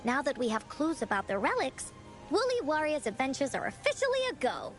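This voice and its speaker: A woman's voice: high voice, huge pitch swings, brisk, faint music behind. A woman voicing a cheerful, imaginative little girl in a video game, an eager and bubbly tour guide. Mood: playful. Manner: bubbly, childlike. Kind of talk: video game character acting.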